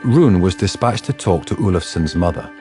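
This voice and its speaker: A man playing the measured storyteller of a detective radio drama, reading scripted narration. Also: sits low, animated, warm tone, soft background music.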